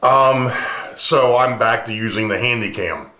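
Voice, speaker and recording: Casting: man with a booming, mid-pitched voice, rambling vlog host, camcorder mic, echoey room. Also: measured pace, bright, forceful tone. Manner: rambling, chatty, many ums. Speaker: model railroad channel host. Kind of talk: casual talk to camera. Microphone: camcorder's built-in mic, no lapel mic.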